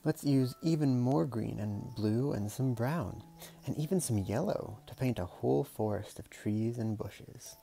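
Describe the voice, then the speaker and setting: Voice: medium pitch, measured pace, creaky, warm tone, faint music behind. A storyteller reading a picture book aloud in a casual, playful way, with chatty murmured asides.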